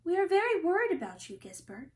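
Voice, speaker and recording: A woman voicing a caring, worried giraffe parent in a storybook, gentle and concerned. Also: very high-pitched, big pitch swings, quick pace, voice pushed up. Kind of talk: children's storybook character reading.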